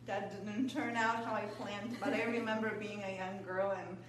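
A woman from Poland chats about her life as a guest, loose and friendly, with plenty of "um" and "you know." She sits away from the mic, so her voice bounces around a very echoey room. A low hum runs underneath. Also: steady tone, moderate pace, far from the mic. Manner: chatty, laughing often, many fillers. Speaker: guest, yoga teacher. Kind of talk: casual interview chat.

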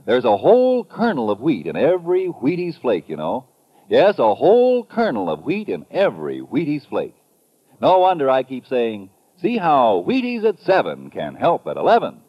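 A man's voice: medium pitch, huge pitch swings, normal speed. A man with an American accent reading a 1950s radio commercial as a folksy announcer. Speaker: announcer and sponsor pitchman. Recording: dull vintage radio recording.

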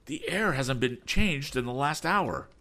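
A man's voice: deep voice, huge pitch swings, gravelly, fairly bright tone, exaggerated voice. A man voicing an earnest young male inventor who exclaims urgently in an adventure storybook. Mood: startled, urgent. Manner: quick, startled exclamations.

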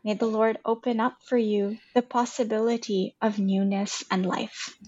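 A woman speaking a solemn blessing in measured phrases. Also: mid-range voice, steady tone, mellow, slightly rough. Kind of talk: spoken prayer, blessing. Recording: dull, lo-fi sound.

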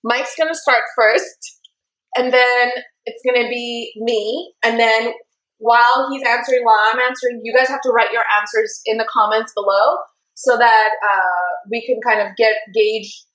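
Chatty higher-voiced woman hosting. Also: steady tone, moderate pace, fairly bright tone, echoey room, poor audio. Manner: chatty and giggly, trails off mid-thought. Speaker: host of a live dating talk show. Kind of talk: casual live-stream chat.

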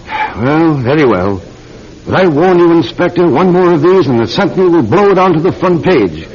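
An imperious male British aristocrat with a fairly high, widely swinging voice. He blusters pompously in an old radio drama, on a slightly dull recording. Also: big pitch swings, moderate pace, breathy edge. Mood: indignant, threatening. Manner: pompous, indignant.

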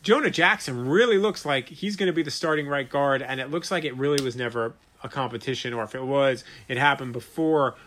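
A man's voice: mid-range voice, fairly quick, few pauses, a bit bright, slight background noise. A man in his late thirties hosting a solo sports podcast, talking conversationally and emphatically, repeating points to stress them. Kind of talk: solo sports podcast commentary with host-read ads.

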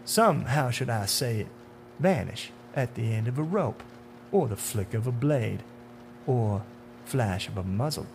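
A man playing a menacing, taunting otherworldly man in a dark suit in a horror story, with theatrical, deliberate, sneering questions. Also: mid-range voice, animated, creaky. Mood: smug, menacing. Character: menacing, taunting dark-suited man, smooth-talking otherworldly bringer of death. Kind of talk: dramatic horror audiobook acting. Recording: audible hum behind the voice.

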